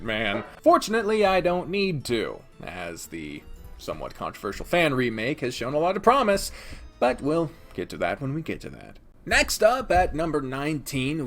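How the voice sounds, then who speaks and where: A man's voice: mid-range voice, a bit bright. A man giving a casual, opinionated video review, speaking bluntly and emphatically with exasperation and plenty of swearing. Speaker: video host reviewing games.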